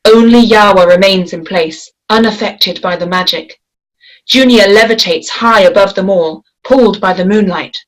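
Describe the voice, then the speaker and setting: A woman reading a screenplay aloud in a measured, descriptive way, giving action lines and scene headings. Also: mid-range voice, poor audio. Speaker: script reader. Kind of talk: screenplay table read.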